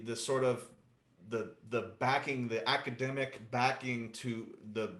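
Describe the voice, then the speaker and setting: A man's voice: mid-range voice, a bit bright. A man hosts an online session in a loose, joking manner, his words broken up by fillers. His voice sits in the middle range. The call sounds roomy and echoey. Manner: casual, joking, with frequent fillers. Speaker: meeting moderator. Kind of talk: hosting a virtual meeting. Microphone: video call.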